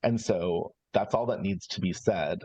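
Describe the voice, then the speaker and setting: A man's voice: low voice, unhurried, warm tone, breathy edge, no echo. A man playing a roleplaying game, casually improvising a description of his character and searching for words as he goes. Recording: slightly dull online stream audio.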